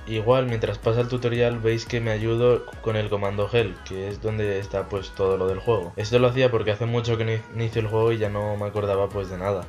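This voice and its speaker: A man's voice: on the low side, darkish tone. A young man with an accent from Spain, presenting a casual video game tutorial as host over background music.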